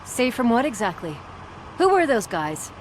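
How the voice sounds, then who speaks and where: An actress playing a frank, wry police colleague in a video game, direct and dryly questioning. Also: female voice, a little high, big pitch swings, normal speed, a little hiss or hum.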